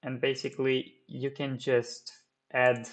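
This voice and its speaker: A man's voice: mid-range voice, little pitch movement, takes it slowly. A man whose first language is Romanian, walking viewers through a 3D modelling tutorial at his desk, with many fillers and restarts. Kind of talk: screen-recorded software tutorial.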